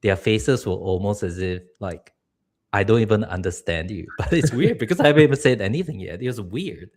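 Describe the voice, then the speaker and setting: A man's voice: on the low side, animated, brisk, a little mellow. A middle-aged man from Singapore, a public speaking coach giving earnest advice on a live stream. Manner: earnest, advice-giving, laughs now and then.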